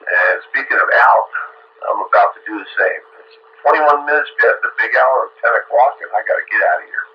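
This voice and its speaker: A man's voice: medium pitch, animated, brisk. A man talking casually as a radio operator, heard through a muffled two-way radio with faint static. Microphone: radio set microphone heard over air.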